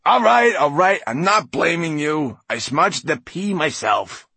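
A man's voice: low voice, measured pace, husky, no room sound. A man voicing a vain, irritable old male poet in an audiobook story, lofty and theatrical. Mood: placating. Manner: pompous, theatrical, easily exasperated.

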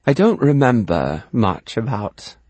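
A posh, wistful Englishman delivers a theatrical confession in an English accent. His pitch moves freely over a slightly husky tone. The telling is precise and dramatic, recorded very dry. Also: sing-song, measured pace, a little mellow, no echo. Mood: reflective. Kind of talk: theatrical solo monologue narration.

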